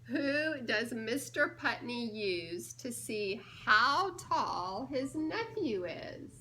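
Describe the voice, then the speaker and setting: A woman hosts a read-aloud story time for small children. Her voice swings widely in pitch and moves at a slow, patient pace, stretching key words playfully and pausing after questions. There is faint noise behind her. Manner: playful, expressive, drawn-out delivery of key words with teasing pauses. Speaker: children's storybook reader and church children's ministries director.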